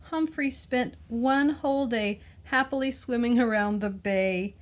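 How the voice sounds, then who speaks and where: A woman's voice: fairly high pitch, measured pace, a little hiss or hum. A woman reading a children's picture book aloud expressively, like a teacher, with explanatory asides and questions to the listener. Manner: explains words and asks the listener gentle questions.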